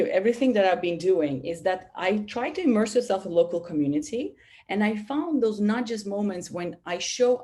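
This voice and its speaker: Lowish-voiced woman on a video call webinar, animated, lots of restarts and "you know". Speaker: webinar speaker. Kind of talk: webinar talk.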